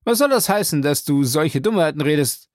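A reader voicing an excitable, obsessive man who calls out commands in an urgent voice. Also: medium pitch, brisk, no room sound, studio quality, natural voice. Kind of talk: audiobook character voice.

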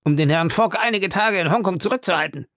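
A reader voicing a scheming male police detective who speaks in a low, confiding, persuasive way. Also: fast, creaky, fairly bright tone, dry recording, studio quality.

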